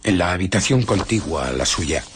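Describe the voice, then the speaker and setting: An actor playing a ruthless, irritable fugitive scientist in a radio drama, husky and often dropping to a whisper as he recounts and justifies his deeds. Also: low voice, expressive, normal speed, noisy background. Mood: brooding recollection. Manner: dramatic, brooding, self-justifying. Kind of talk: radio drama narration and dialogue.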